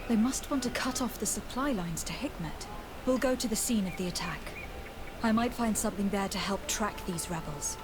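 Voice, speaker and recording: A woman playing a composed, diplomatic young noblewoman legate in a video game, speaking formally and asking measured questions. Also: mid-range voice, background noise, natural voice. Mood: measured, probing. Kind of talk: scripted video game dialogue.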